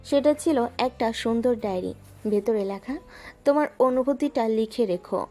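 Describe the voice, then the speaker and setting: A woman's voice: on the high side, moderate pace, soft background music. Woman narrating a romance story. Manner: calm, even storytelling.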